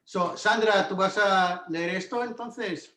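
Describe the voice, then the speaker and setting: A man narrates a screenplay, reading out the stage directions. His voice sits a little high, and the room adds a clear echo. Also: measured pace, bright, forceful tone. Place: indoor room on virtual call. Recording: echoey room.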